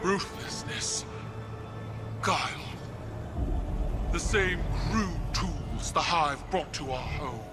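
An actor voicing a proud, defiant alien ruler, speaking in a firm, measured way in a game cutscene. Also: male voice, on the high side, animated. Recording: game cutscene with music behind.

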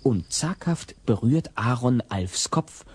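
A man narrating a scripted audio play as an expressive storyteller. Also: mid-range voice, takes it slowly, slightly rough, no room sound. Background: loud music.

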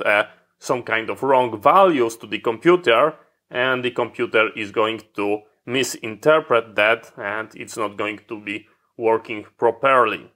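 A man's voice: mid-range voice, a bit bright. A man walking through a hands-on electronics repair at his workbench, explaining step by step with slightly non-native phrasing.

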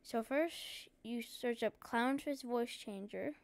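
Smooth, higher-voiced woman giving casual instructions. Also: a little mellow. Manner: informal, halting step-by-step instructions. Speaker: tutorial presenter. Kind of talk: casual screen-recorded software tutorial.

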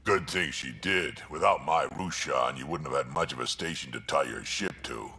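Gravelly old krogan warrior. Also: male voice, moderate pace, pushed and bright, a little hiss or hum, heavily acted. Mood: gruff. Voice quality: deep gravelly growl. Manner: gruff and blunt. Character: tough old male krogan warrior, blunt.

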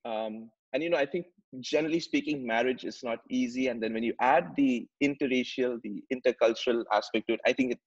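A man's voice: medium pitch, brisk, few pauses, slightly rough. Earnest man on a muffled video call. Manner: earnest, repeats words while searching for phrasing. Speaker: podcast guest. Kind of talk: conversational storytelling. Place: home room with plants and shelves.

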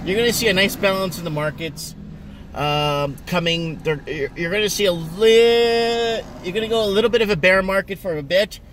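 A man's voice: high-pitched, moderate pace, far from the mic. A middle-aged man whose first language is Portuguese, chatting casually and rambling to a dashboard camera from his car. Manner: rambling, animated, many restarts and fillers. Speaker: vlogger. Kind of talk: casual chat while driving. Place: parked and driving car. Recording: car and street noise behind.

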